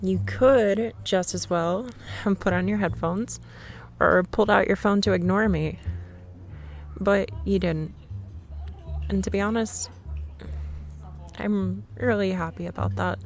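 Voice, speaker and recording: A young woman in a scripted ASMR roleplay, playing a shy, nervous stranger who rambles and laughs nervously in a soft voice. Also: mid-range voice, unhurried, long pauses, faint music behind.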